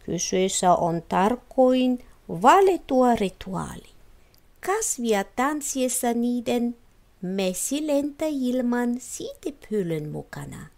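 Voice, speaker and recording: A woman's voice: fairly high pitch, very animated, vocal fry, a little mellow, pitched above the performer's own voice. A woman voicing an eager, chatty talking flower in a storybook, keenly explaining things. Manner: keen, explanatory.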